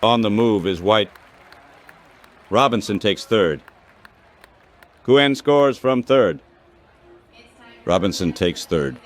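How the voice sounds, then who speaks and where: A man calling baseball play-by-play as an announcer, in short, measured phrases. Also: fairly low pitch, expressive, a faint crowd.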